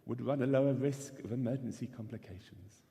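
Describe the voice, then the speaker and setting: A man giving a measured, earnest sermon as a rabbi in a synagogue. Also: mid-range voice, dark tone. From not Israel. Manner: measured, earnest preaching cadence. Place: synagogue sanctuary. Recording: long hall reverb.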